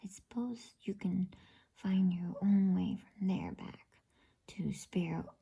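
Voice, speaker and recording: A woman voicing a timid, guilt-ridden male faun in an anxious, halting whisper for an ASMR bedtime story. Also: medium pitch, dark tone, lo-fi sound, slight background noise. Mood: anxious remorse. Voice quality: whispered, breathy.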